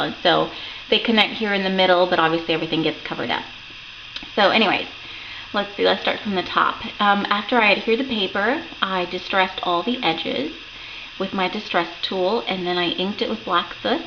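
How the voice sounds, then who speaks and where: A woman talking through a finished craft project in a loose, rambling way, her voice croaky from a sore throat. The recording is dull and lo-fi, with clear hiss underneath her. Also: lowish voice. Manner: chatty, rambling, lots of ums and self-corrections. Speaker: crafting channel host. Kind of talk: casual craft show-and-tell. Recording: dull lo-fi home recording with noticeable background hiss.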